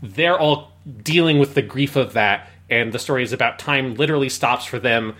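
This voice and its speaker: A man's voice: medium pitch, moderate pace, pushed and bright. A man co-hosting a podcast, giving casual but analytical commentary in a review discussion, explaining at length with emphasis. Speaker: podcast co-host.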